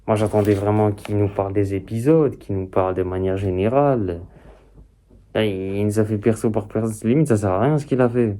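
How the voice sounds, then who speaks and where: A man's voice: on the low side, a little fast, mellow. A young man giving casual, rambling reaction commentary in slangy, repetitive phrases. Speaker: reaction video host.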